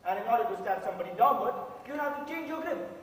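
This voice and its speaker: A young Indian man on an amateur stage, playing an earnest, quiet juror who grew up in a rough neighborhood. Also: very high-pitched, animated, fast. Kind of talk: amateur stage drama acting. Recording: echoey theatre hall recorded from far off, hiss.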